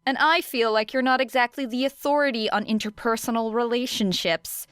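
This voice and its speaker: Woman acting teenage girl student, brash, teasing, blunt, smooth voice, audiobook dialogue. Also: a little high, brisk, fairly bright tone, no echo, clean studio sound, voice pushed up, close to an everyday voice. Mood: irritated. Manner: sarcastic, blunt.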